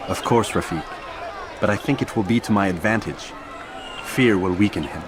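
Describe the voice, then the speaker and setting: An actor playing a calm, confident medieval assassin in a video game, speaking in a measured, matter-of-fact way. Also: male voice, on the low side, crowd noise, background noise, lightly acted. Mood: calm, assured.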